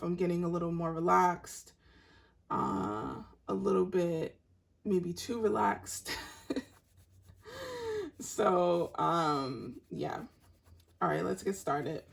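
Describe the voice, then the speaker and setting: Slow, raspy, low-voiced woman reader. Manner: soft-spoken and meandering, unhurried spiritual talk. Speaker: tarot reader. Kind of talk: intuitive tarot reading for an online audience.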